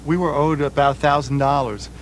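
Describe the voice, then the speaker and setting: A man's voice: on the high side, fairly even intonation, fairly quick, slight background noise. A man joking around while being interviewed as a musician. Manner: joking, wisecracking. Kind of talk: comic interview banter.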